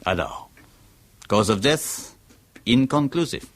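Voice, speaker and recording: An actor playing a grimly precise pathologist, explaining formally and deliberately in a radio horror drama. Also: male voice, medium pitch, big pitch swings, exaggerated voice. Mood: emphatic, clinical. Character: French male pathologist, formal, grimly precise, self-satisfied.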